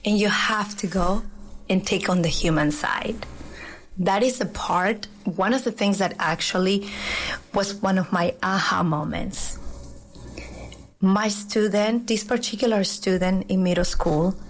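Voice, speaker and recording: A middle-aged woman from Peru who teaches, speaking at a medium pitch. She answers in an earnest, reflective way, often restarting her sentences as she thinks. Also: unhurried, rough recording. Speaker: teacher, guest. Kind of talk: interview answers.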